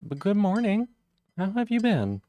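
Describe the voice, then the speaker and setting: A man streaming live, chatting casually with viewers and greeting them by name, with a rough voice today. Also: big pitch swings, a little slow, dark tone, no room sound. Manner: friendly, greets chat members by name, jumps up in pitch on greetings.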